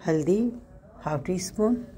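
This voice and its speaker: A woman with a Pakistani Urdu accent and a hoarse, sore throat, chatting through a home recipe step by step and correcting herself as she goes. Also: fairly low pitch, a little slow, mellow, slight background noise. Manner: chatty, explains step by step with small self-corrections. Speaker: home cook host. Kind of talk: casual cooking narration.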